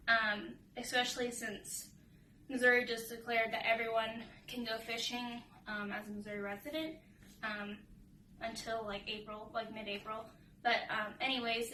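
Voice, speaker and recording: A woman's voice: a little high, sharp tone. A woman reading aloud and talking casually as a children's storybook reader. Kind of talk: children's read-aloud narration and casual chat. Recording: roomy, distant mic with faint noise.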